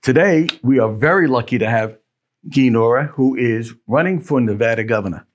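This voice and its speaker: A man's voice: mid-range voice, moderate pace, a little hiss or hum. A male interview host who asks his guest questions in a friendly, conversational way. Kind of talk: interview hosting.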